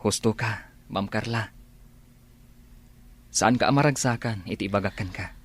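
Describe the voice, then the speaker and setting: A man's voice: lowish voice, moderate pace, breathy edge. A man playing a reluctant, respectful employee in a radio drama, speaking with hesitant candour. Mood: grave, frank. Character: reluctant, deferential male employee revealing painful truth.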